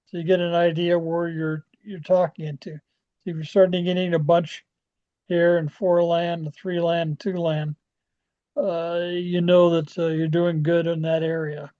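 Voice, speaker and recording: An American man giving an informal software walkthrough as a club trainer, halting and often trailing off as he rambles along. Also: fairly high pitch, flat delivery. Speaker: presenter training radio club members. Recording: dull, lo-fi screen-share audio.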